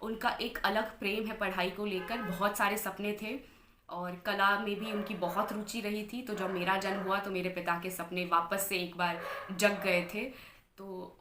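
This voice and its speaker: A young woman from Bihar shares her life story in an interview, earnest and steady, slipping English phrases into her Hindi. The room rings with echo and a faint hum of ambience sits underneath. Her pitch sits in the middle for a woman. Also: unhurried. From Bihar, India. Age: 20s. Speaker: interview guest, anchor and model.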